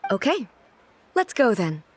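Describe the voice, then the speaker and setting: A woman playing a composed, regal female ruler in an anime-style video game, formal, measured and earnest. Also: medium pitch, big pitch swings, noisy background. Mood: calm, reassuring. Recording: music playing behind the voice.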